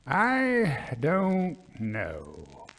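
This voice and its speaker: A man playing a grave British colonel in his forties, speaking slowly and deliberately in a ghost story. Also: a little high, huge pitch swings, a bit bright, heavily acted. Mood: grave, reluctant.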